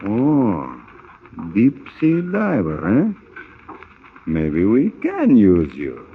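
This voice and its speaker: An actor playing a big, tough, suspicious gang boss in a gruff, interrogating manner, in 1940s radio style. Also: male voice, lowish voice, huge pitch swings, drawn-out words, exaggerated voice. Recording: muffled noisy 1940 radio recording.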